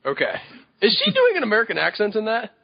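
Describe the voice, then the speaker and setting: A man's voice: sits high, wildly swooping pitch, quick pace, gravelly, dry recording. Man, casual wry chat, dry muffled recording. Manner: wry, teasing. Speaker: podcast co-host.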